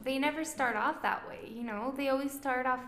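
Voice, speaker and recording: A woman's voice: sits high, a little fast, fairly bright tone, a little hiss or hum. A young woman with a Mexican accent, chatting casually as a social worker guest who says "like" a lot. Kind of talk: casual podcast chat. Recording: echoey room.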